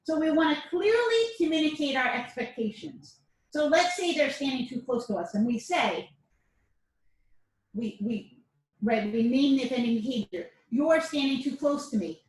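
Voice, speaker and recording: A woman's voice: high voice, animated, moderate pace. A woman instructor giving a casual self-defense lesson, chatty, often checking in with "all right?". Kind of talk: casual class lecture to camera. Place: dojo or training room. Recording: echoey room, mic at a distance.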